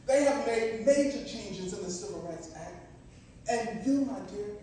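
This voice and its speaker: A man acts out a devoted husband and civil rights leader with solemn, oratorical conviction. The delivery is slow and deliberate, the tone mellow. It is a stage drama heard in a very echoey space. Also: sits high, lively intonation. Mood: impassioned. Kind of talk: stage play acting. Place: theatre stage, recorded from a distance. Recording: echoey stage, distant mic, faint noise.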